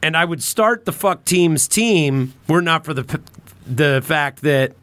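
A man's voice: fairly high pitch, expressive, measured pace, fairly bright tone, no room sound. A man hosting a podcast in casual conversation, rambling along with informal slang and swearing. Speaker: podcast host.

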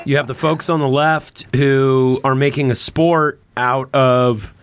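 A man's voice: medium pitch, fairly even intonation, slow pace, clear, resonant tone. A man in casual political discussion on a podcast, repeating and rephrasing as he builds his point.

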